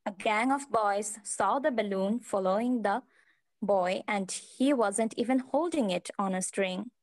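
A woman's voice: mid-range voice, unhurried. Female story narrator, mid-range voice, reading aloud, video call.

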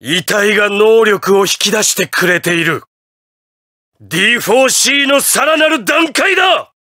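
An actor playing an imperious, ruthless patriotic president in a Japanese anime fighting game, with grandiose, theatrical declarations. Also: male voice, high voice, moderate pace, bright, forceful tone, dry recording, studio quality. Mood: triumphant, exultant.

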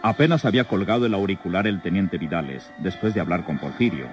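Mexican man, deep voice, dramatic serial narration, loud music behind. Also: expressive, quick pace.

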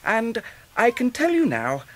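Radio drama: courteous young English solicitor, eager, precise, animated pitch. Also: male voice, unhurried, full character voice. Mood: careful, pleased. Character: earnest, courteous, good-natured young lawyer. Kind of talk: 1990s radio drama acting.

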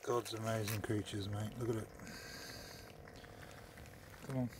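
A young Australian man with a lowish voice talks loosely into a phone camera on a harbour wharf at night. He rambles through casual fishing tips and drops the odd swear word. Faint outdoor noise hangs in the background. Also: little pitch movement, a little slow. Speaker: fishing vlogger. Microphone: phone camera held close.

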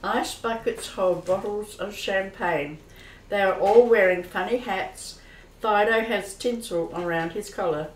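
Woman narrating a radio play slowly, noisy recording, far from the mic. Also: mid-range voice. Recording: distant, roomy, noisy with clicks.

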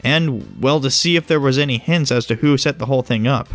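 A man's voice: medium pitch, brisk, faint music behind, natural voice. A man playing a smug, casual agent who explains things glibly in audio drama dialogue. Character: breezy, smug male agent who knows hidden things.

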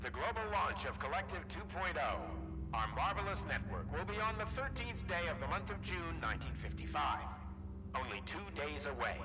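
An actor playing a grandiose Soviet scientist giving an oratorical, persuasive propaganda speech in a video game. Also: male voice, a little high, sing-song, normal speed. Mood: grand, persuasive. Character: grandiose visionary Soviet scientist, director of a facility. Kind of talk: scripted public propaganda speech. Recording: roomy distant public-address sound under loud music.

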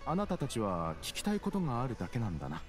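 A man's voice: medium pitch, huge pitch swings, quick pace. A man voicing a middle-aged scholar in a video game, nervous yet self-important, with a fussy academic manner. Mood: smug. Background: game music.

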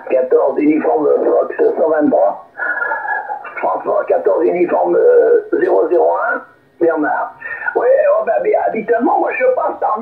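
Over a thin, phone-like radio signal, a man rambles haltingly in casual chat. His voice is high for a man and swings up and down a lot. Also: vocal fry, roomy sound. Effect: radio transmission. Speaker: amateur radio operator.

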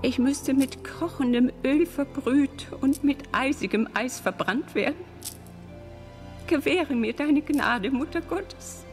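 A woman's voice: high voice, slow, soft background music. An actress playing a pious, guilt-ridden old grandmother in a radio drama, praying in a fervent, melodramatic lament. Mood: penitent.

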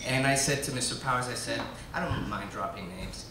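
A man telling a story live on a small club stage, lively, into a stand mic. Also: mid-range voice, brisk. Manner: lively storytelling, bursts of impressions. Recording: echoey live room, distant mic, noise.

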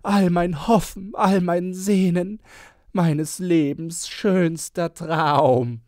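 A grief-stricken older widow from a storybook , acted out by a man, wails in a high-pitched voice. The delivery is theatrical and tearful, drawing out each line of the lament. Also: steady tone, drawn-out words, darkish tone, no room sound, studio quality, full character voice.

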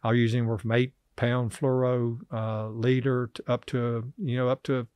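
A middle-aged man, a podcast guest talking casually and haltingly about fishing, full of fillers and restarts. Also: mid-range voice, level tone, darkish tone, no echo, studio quality. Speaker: podcast guest, river angler. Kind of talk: casual interview chat. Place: small office studio, indoors. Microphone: desk podcast mic on boom arm.

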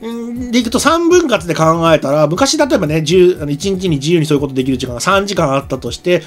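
Very animated, fast-talking man in his forties. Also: on the high side. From regional Japan, outside Tokyo. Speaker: talk show co-host and anime commentator. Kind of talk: casual chat about anime. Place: small streaming studio, indoors. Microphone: desk microphone on boom arm.

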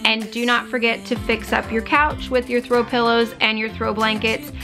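A woman in her thirties walks viewers through her cleaning routine in a practical, instructive voice-over that sits a little high. She talks from home, and music plays clearly underneath her. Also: flat delivery, normal speed. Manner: instructive, practical, matter-of-fact. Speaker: cleaning vlogger. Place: home living room, on a couch. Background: background music.

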